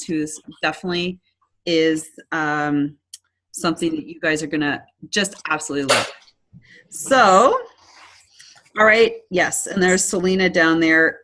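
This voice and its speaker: A woman's voice: fairly low pitch, a bit bright. A woman hosting a casual online business presentation, chatty and upbeat, with frequent ums and restarts. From Canada.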